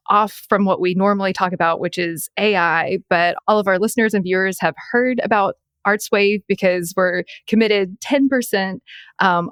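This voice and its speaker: A woman's voice: on the low side, clear, resonant tone, dry recording, clean studio sound. A woman hosting an interview podcast, moving between scripted introductions and conversational questions. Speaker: podcast host.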